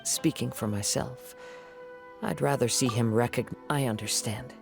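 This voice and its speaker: A gentle young male samurai wanderer, calm and thoughtful. He speaks softly in measured, formal lines, video game style, with music playing behind. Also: medium pitch, vocal fry. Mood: earnest, reflective. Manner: soft-spoken, measured, formal. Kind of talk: scripted video game voice acting.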